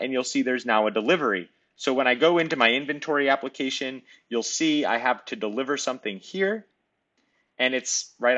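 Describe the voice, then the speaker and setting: A man narrating a screen-recorded software demo, explaining each step in a conversational way. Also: mid-range voice, dull audio. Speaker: software demonstrator. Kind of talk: screen-recorded tutorial walkthrough.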